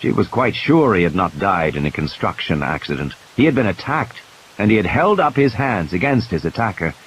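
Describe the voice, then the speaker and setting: A man's voice: deep voice, very animated, fairly quick, low-quality recording. A man reading scripted dramatic narration as the storyteller of an audiobook. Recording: clear background hiss and noise.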